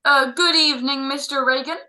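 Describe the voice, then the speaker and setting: A woman's voice: high-pitched, fairly even intonation, fairly quick, bright, forceful tone. A woman playing a polite, composed family doctor in a radio drama, soothing and a little hesitant. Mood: polite, brisk. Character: composed, reassuring family doctor on a house call. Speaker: amateur radio drama actor.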